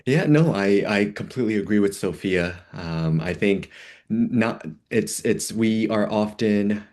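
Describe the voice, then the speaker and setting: A man on a webinar panel, speaking slowly and searching for his words as he agrees with another guest. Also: on the low side, darkish tone.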